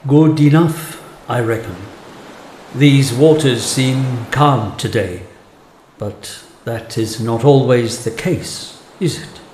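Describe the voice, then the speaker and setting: A man playing a mysterious weathered stranger in a ghostly radio play, slow and guarded. His voice echoes, over faint background noise. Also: medium pitch, darkish tone, natural voice. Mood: guarded, probing. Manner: deliberate, guarded. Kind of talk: audio drama dialogue.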